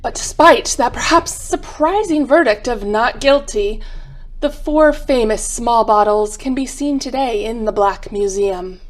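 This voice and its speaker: A woman narrating a radio mystery in a measured, formal, dramatic storytelling style. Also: fairly high pitch, soft background music, poor audio.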